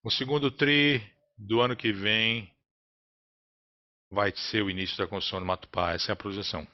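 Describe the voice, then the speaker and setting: A man with a Brazilian accent interprets a business earnings call live, in a halting, phrase-by-phrase delivery. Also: on the low side, fairly even intonation. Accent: Brazilian Portuguese. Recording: dull, lo-fi conference call audio.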